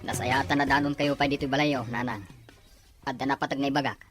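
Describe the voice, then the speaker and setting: A man's voice: medium pitch, fairly even intonation, quick pace, loud music behind the voice, close to an everyday voice. A man playing a concerned, dutiful father in an Ilocano drama, speaking earnestly. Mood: earnest request. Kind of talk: Ilocano radio drama acting.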